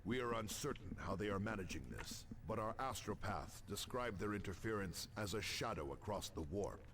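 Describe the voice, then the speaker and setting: A grave space captain in a game, low voice, formal, bit of background noise. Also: male voice, animated, normal speed, fairly bright tone. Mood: grave, commanding. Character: authoritative, weary male space marine captain. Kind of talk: scripted video game transmission. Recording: game audio with faint noise.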